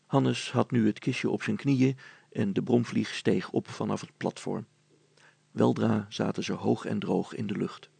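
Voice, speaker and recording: A man's voice: lowish voice, fairly even intonation, a little slow, gravelly, warm tone, slightly rough, dry recording. A man narrating a children's story as a storyteller.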